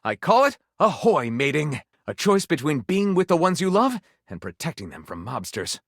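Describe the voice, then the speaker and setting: An actor playing a theatrical, enthusiastic male author pitching his stories as a dating-sim game character. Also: big pitch swings, normal speed, vocal fry, no room sound, clean studio sound, exaggerated voice. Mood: musing, dramatic. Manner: theatrical, flamboyant, dramatically announcing titles.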